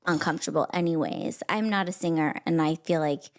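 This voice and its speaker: A woman in her thirties with a high voice hosting a podcast at home, chatting casually and laughing often. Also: brisk. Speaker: podcast host. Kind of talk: casual chat. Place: her house.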